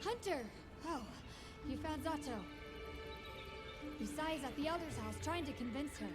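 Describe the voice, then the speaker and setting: A caring young woman from a video game, with a very high, lively voice. She talks with urgent energy and reassurance over loud music. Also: moderate pace. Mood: relieved, urgent. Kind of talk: video game cutscene acting.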